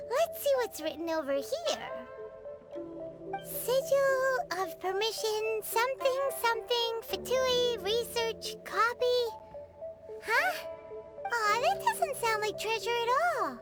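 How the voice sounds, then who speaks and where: A woman voicing a tiny, childlike fairy companion in a video game, squeaky, excitable and greedy, with an exclaiming, sing-song manner. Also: takes it slowly, loud music behind the voice, heavily acted. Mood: eager. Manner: exclaims, sing-songs, refers to herself in third person. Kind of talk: video game character acting.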